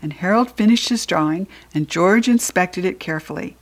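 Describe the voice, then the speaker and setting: An American woman reading a children's story aloud in an expressive, playful and informal way, as a narrator. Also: lowish voice, moderate pace.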